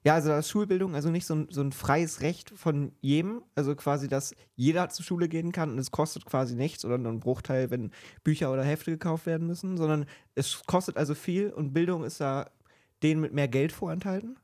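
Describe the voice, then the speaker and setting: A man's voice: on the high side, fairly quick, a little mellow. A man co-hosting a podcast interview, asking long, wordy questions in a conversational way. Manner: wordy, rephrases answers as questions.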